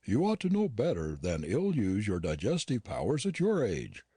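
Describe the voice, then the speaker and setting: A curt, disdainful gentleman dentist, scornful and impatient, as a male narrator reads him. The voice sits low and husky. It rises and falls a great deal. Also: wildly swooping pitch, moderate pace, dry recording, clean studio sound, heavily acted.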